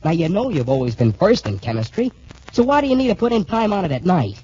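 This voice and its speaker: Casting: skeptical young best pal, slangy and animated, for vintage radio adventure, muffled old recording. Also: male voice, high voice, fast, a little hiss or hum. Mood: exasperated. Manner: slangy, exclamatory. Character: skeptical, loyal young male student sidekick. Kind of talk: 1940s radio adventure serial acting.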